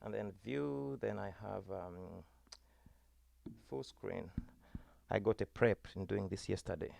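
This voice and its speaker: A man's voice: fairly low pitch, slow pace, gravelly, dark tone, no echo. A Ugandan man whose first language is Luganda gives a virtual school presentation as a music teaching artist. He explains patiently and says "uh" and "you know" often, speaking into a computer webcam mic.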